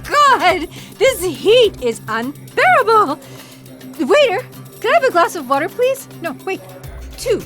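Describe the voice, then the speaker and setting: An actress playing an exasperated, teasing woman paleontologist in audio theatre, with an animated, complaining manner. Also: very high voice, soft background music, exaggerated voice. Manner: animated, complaining, quick to laugh.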